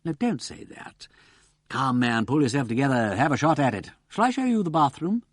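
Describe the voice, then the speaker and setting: A sardonic upper-class gentleman detective, as a man puts him on, drawling and mocking, his pitch leaping high and swooping back down. The voice is husky, and the recording is close and dry. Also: very animated, normal speed, no echo.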